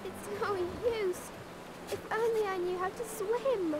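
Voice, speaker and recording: A woman's voice: very high-pitched, fairly even intonation, slow, heavy background noise. A woman voicing an earnest, tender-hearted young girl in a cartoon, with a wistful, hopeful manner. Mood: worried, wistful. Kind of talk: animated cartoon acting. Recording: loud background music.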